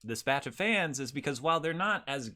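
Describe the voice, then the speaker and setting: A man's voice: medium pitch, expressive, a little fast, sharp tone. A man co-hosting a podcast, talking in a casual conversational way. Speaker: podcast host. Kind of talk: casual chat.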